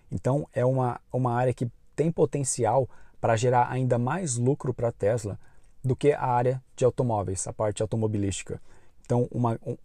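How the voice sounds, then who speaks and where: A man with a Brazilian Portuguese accent speaks in a mellow, relaxed voice at a medium pitch. He delivers informative commentary as a channel host, explaining things in a measured way. The recording is very dry, with no room sound around the voice. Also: no room sound.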